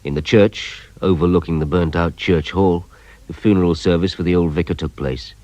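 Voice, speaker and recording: An actor in a radio drama playing a weary police inspector who narrates his case in a measured, reflective way. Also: deep voice, fairly even intonation, darkish tone, no echo, natural voice. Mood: reflective, sombre. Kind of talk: scripted first-person radio drama narration. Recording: noisy radio drama recording, effects behind.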